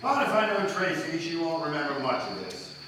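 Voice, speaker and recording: A man playing a suave, sardonic upper-class gentleman in a live old-time radio play, wry and coolly commanding. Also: a little high, expressive, fairly quick. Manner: wry, mock-casual, crisp commands. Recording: echoey live stage, distant mic, faint noise.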